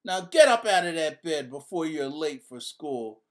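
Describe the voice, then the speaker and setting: A man's voice: fairly high pitch, sing-song, unhurried, voice pushed up. A man voicing an upset, bossy mother who urgently scolds her young sons in a children's storybook. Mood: exasperated.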